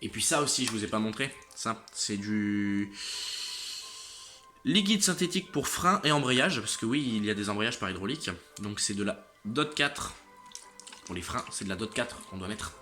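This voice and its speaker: Late-teen male vlogger, exhausted and a bit congested, with a tense, bright tone. Halting delivery that trails off and restarts. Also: fairly low pitch, lively intonation, faint music behind. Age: late teens. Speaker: motorcycle channel host. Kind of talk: casual vlog update to viewers.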